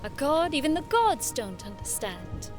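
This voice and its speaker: A woman's voice: high-pitched, very animated, measured pace, music in the background. A woman playing a haughty, playful young noblewoman in an audio drama, speaking in an eloquent, theatrical, teasing way. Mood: storytelling.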